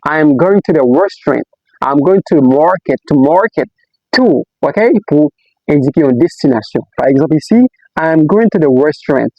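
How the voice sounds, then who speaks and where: A man with a slightly raised voice teaches beginners, repeating every example sentence slowly. He keeps nudging learners along with quick "ok?" prompts. The recording is dull and lo-fi, with no room sound. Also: on the high side, no echo. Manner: repeats example sentences slowly, switches between Creole, French and English; frequent "ok?" and "let's go, guys" prompts. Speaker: English teacher. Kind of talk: beginner language lesson.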